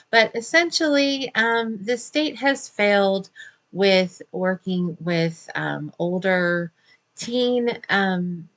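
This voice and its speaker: A middle-aged American woman talks to her viewers in an informal advocacy update. Her voice sits a little low, with noticeable vocal fry. She comes across as earnest and conversational, pausing as she gathers her words. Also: a bit bright. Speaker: special education advocate and vlogger.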